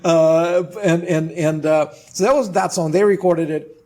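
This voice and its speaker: A man's voice: on the high side, steady tone, unhurried, a little mellow. A man in his forties from Russia with a Russian accent, telling his story as a podcast guest, with frequent restarts and fillers. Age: 40s. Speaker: guest, trademark attorney. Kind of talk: casual podcast interview.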